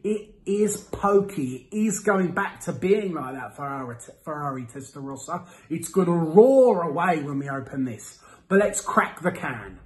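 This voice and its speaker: A man's voice: fairly high pitch, animated, measured pace. Playful man reviewing to camera. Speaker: host of a beer review series. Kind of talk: casual product review to camera. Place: small indoor room with window blinds.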